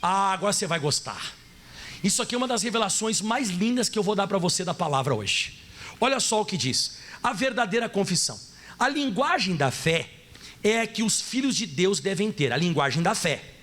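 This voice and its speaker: A pastor from southern Brazil with a Gaúcho accent, preaching in an animated, playful way and putting questions to the congregation. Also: male voice, high-pitched, normal speed. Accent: Gaúcho Brazilian Portuguese. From Rio Grande do Sul, Brazil. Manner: animated, playful, acts out voices and asks the audience questions. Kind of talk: sermon.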